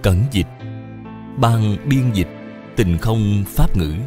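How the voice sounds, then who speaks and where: A man's voice: on the low side, lively intonation, slow pace, music in the background. A man reading scripted moral narration for a cartoon.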